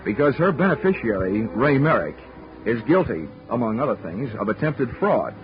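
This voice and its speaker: A man's voice: mid-range voice, sing-song, normal speed, lightly acted. An actor playing a hard-boiled insurance investigator in a 1940s radio drama, narrating his case with dry wisecracks. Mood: wry. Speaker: narrator and lead. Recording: lo-fi 1940s radio broadcast with music.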